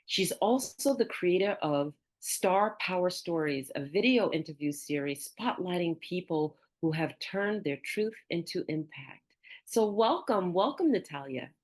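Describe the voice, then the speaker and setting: A woman's voice: fairly low pitch, animated, unhurried. A woman hosting a podcast, moving between a prepared introduction and conversational interviewing. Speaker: podcast host.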